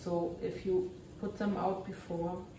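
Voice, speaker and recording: A German woman with a German accent, narrating her resin crafting casually and often pausing to search for English words. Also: lowish voice, little pitch movement, reverberant, far from the mic, background noise.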